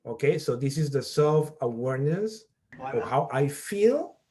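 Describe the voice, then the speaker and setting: A man's voice: medium pitch, big pitch swings, measured pace, darkish tone. A middle-aged man from Peru who leads a workshop as a college professor, explaining step by step and often checking in with "okay?". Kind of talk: online workshop presentation.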